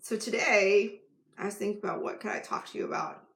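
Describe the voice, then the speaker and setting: A woman talks as a life coach in a relaxed live vlog. She rambles and chats, with many restarts. Her mid-pitched voice has a gravelly fry, and the sound rings in an echoey room. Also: fairly quick. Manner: chatty and rambling, with many restarts and filler words.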